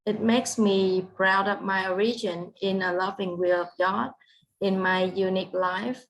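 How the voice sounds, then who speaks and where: A woman from Vietnam with a Vietnamese accent, giving an online presentation in careful, halting English over a video call. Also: mid-range voice, fairly even intonation, a little hiss or hum, poor audio. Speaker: guest speaker.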